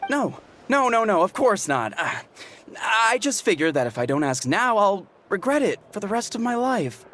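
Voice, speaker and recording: An actor voicing an excitable young warrior in a video game, bashful yet bold, earnest and gushing. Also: medium pitch, moderate pace, slight background noise. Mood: sheepish. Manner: stammering when flustered, gushing, earnest. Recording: music behind the voice.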